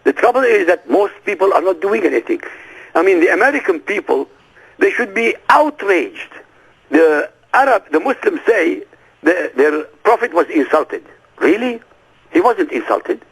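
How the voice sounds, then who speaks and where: A man whose first language is Arabic, a guest on a radio interview, who talks forcefully and emphatically and keeps firing off rhetorical questions. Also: sits high, big pitch swings, moderate pace, vocal fry, husky, rough recording.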